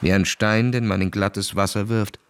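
A man narrating a literary radio play in a measured, dramatic storytelling manner, acting out the quoted dialogue. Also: fairly low pitch, steady tone, slight background noise.

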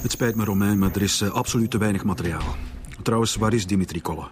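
An actor with a Flemish accent playing a cautious, officious police director in a radio drama, speaking formally. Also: male voice, fairly low pitch, fast, slight background noise, close to an everyday voice. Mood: brisk, official.

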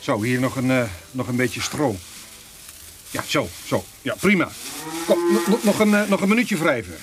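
An actor as a gruff, animated Dutch farmer. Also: male voice, medium pitch, moderate pace, noisy background, lightly acted. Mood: relieved, businesslike. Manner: brusque, swearing, commanding. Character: gruff, impatient male dairy farmer. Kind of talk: Dutch radio play acting.

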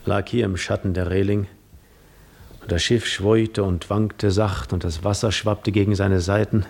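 An actor playing a weary, proud seafarer lost in memories, in a poetic, theatrical 1950s radio monologue. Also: male voice, low voice, fairly even intonation, a little slow, creaky, darkish tone, heavily acted. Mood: wistful, reflective. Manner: poetic, reflective, theatrical delivery.

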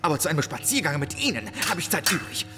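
An actor playing a proud, scornful young count in a classical German radio play, speaking formally with biting irony. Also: male voice, a little high, very animated, fast, pushed and bright, in a large hall, soft background music. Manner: curt, biting irony, formal theatrical delivery.

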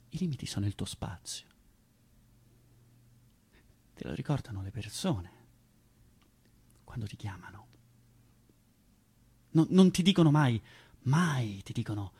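A man acting a husky, anxious, stammering self-doubter. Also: mid-range voice, sing-song, exaggerated voice. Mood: bitter, resigned. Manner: halting, stammering, repeating words; swings between breathy whispers and loud outbursts. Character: anxious, self-doubting man confessing his own limits. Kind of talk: theatrical radio monologue.

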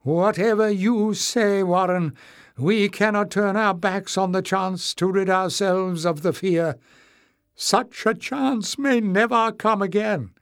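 A man's voice: medium pitch, animated, extremely slow, few pauses, dark tone, no room sound, clean studio sound. A man voicing a proud, brave old grandmother in a storybook, with a quavering voice. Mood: earnest, quavering.

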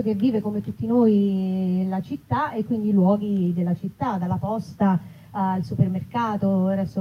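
A woman chatting casually as an interview guest about her own work, in a self-deprecating, digressive way. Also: medium pitch. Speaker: actress and author, interview guest. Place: live festival event in an olive grove. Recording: dull, noisy live event recording with clicks.

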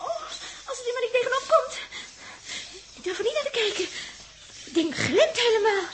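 A woman's voice: very high voice, expressive, normal speed, noisy background. A woman acting a jumpy, teasing, affectionate space traveller in a 1960s Dutch radio play, with exclamations and playful stammers. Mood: frightened.

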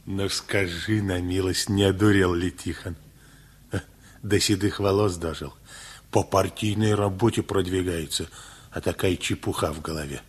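An actor playing a grumbling, worried older husband with a husky voice in a radio play. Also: male voice, sits low, steady tone, moderate pace.

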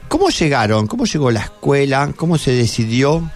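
A man's voice: medium pitch, sing-song, moderate pace, soft background music. A man with a Buenos Aires Argentine accent hosting a conversational radio interview, repeating answers back as he questions. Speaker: radio host. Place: radio studio.

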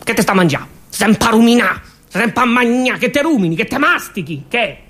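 A man's voice: very high voice, animated, fairly quick, fairly bright tone, slightly rough, exaggerated voice. A man playing an exasperated, nagging Roman mother who stutters and repeats bossy orders at a small son, in a comic stage monologue. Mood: frantic, nagging.

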